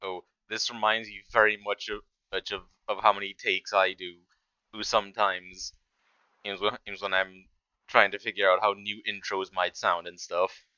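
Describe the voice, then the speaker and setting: A man giving casual reaction commentary as a host, speaking haltingly and often repeating words and phrases. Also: on the low side, little pitch movement, sharp tone, clean tone, no echo, highs cut off.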